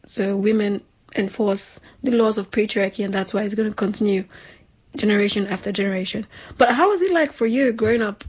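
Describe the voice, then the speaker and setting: A young woman from Nigeria, co-hosting a relaxed chat with a slightly husky voice. She talks in a lively, slangy way, her pitch sitting at a middling height. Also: a little fast. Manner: animated, slangy, laughing. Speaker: podcast co-host. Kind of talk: casual chat.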